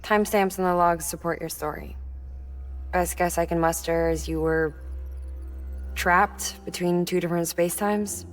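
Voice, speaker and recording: A woman in her thirties playing a weary, kind scientist mother in a video game, speaking in a soft, measured, matter-of-fact way. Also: fairly low pitch, fairly even intonation, soft background music, natural voice. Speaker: scientist and engineer. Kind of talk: naturalistic cinematic video game acting.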